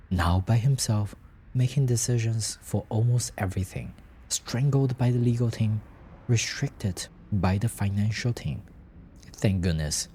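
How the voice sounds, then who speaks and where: A man's voice: on the low side, mellow, slight background noise. A man reading scripted fiction as a story narrator.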